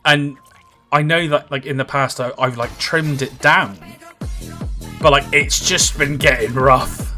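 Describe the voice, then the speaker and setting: Thirties male streamer, rambling and chatty, crisp bright tone, faint game music under him. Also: medium pitch, fairly even intonation, a little slow. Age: 30s. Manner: rambling, chatty, filler-heavy, reads out and answers viewer comments. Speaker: livestreamer. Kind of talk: casual livestream chat while gaming.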